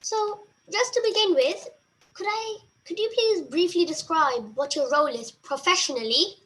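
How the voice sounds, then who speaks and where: A woman's voice: very high voice, moderate pace, fairly bright tone. Polite young woman reading interview questions. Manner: polite, reads prepared questions, thanks often.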